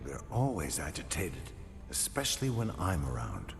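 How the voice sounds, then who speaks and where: An actor playing a grave, confident and commanding knight commander in a fantasy video game. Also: male voice, rumbling low, very animated, moderate pace, vocal fry, faint music behind, heavily acted. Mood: dry resolve. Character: grim, confident knight commander, weary but resolute man.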